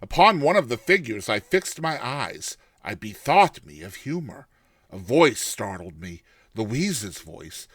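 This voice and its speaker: A man narrating a classic short story in a theatrical, expressive first-person style, like a dramatized audiobook. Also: medium pitch, a little slow, husky, dry recording.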